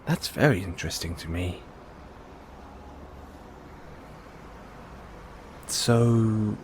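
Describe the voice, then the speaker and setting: A man's voice: on the low side, darkish tone, a little hiss or hum, natural voice. A man playing a curious, reassuring investigative journalist in an intimate audio roleplay, musing and coaxing with hesitant phrasing. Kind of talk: intimate one-sided audio roleplay acting.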